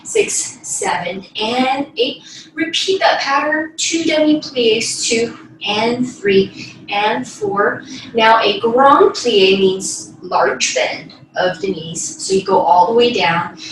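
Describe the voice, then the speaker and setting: A woman's voice: a little high, expressive, slow pace, a bit bright. A young woman giving step-by-step instructional class talk as a ballet teacher, demonstrating as she speaks. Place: small bedroom, indoors. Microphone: far camera across the room. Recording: echoey room, distant mic, faint noise.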